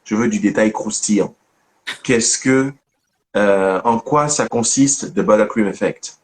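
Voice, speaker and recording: A man's voice: fairly low pitch, unhurried. A man hosting an online interview, asking questions and teasing his guest in a joking, familiar way. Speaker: host of a storytelling channel.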